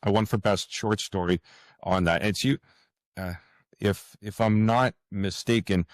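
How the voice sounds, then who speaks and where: A man's voice: on the low side, steady tone, measured pace, no room sound. A man speaking reflectively as an interview guest, earnest and hesitant, often repeating words and phrases. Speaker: author, podcast guest.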